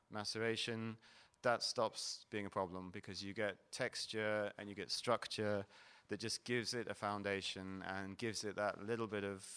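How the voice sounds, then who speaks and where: A man with a lowish voice talks through a wine tasting with steady, even intonation. His tone is smooth and resonant, and he describes each wine in a patient, explanatory manner. He sounds like a middle-aged host at a fair. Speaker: panel host. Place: event hall at a wine fair.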